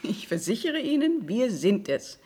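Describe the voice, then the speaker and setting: An actress playing a calm, earnest, long-lived woman inventor in a German radio play. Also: on the high side, a little slow, breathy edge, full character voice.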